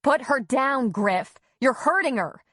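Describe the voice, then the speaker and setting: A woman's voice: mid-range voice, takes it slowly, no room sound. A woman narrator acts out a pregnant mother under attack in an audiobook drama. She is emotional and pleading, defiant yet frightened, in a dry, close sound.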